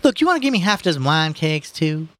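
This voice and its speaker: A man's voice: on the high side, very animated, fast talker, pushed and bright, pitched above the performer's own voice. A man voicing a weary, protective older working-class mother from New Orleans, plaintive and folksy, for a storybook reading. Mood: weary complaining.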